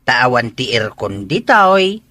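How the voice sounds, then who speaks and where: A man's voice: mid-range voice, big pitch swings, takes it slowly, bright, forceful tone, slight background noise, full character voice. A man with a Filipino accent playing a teasing, folksy older village uncle in a radio drama. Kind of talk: Ilocano radio drama acting.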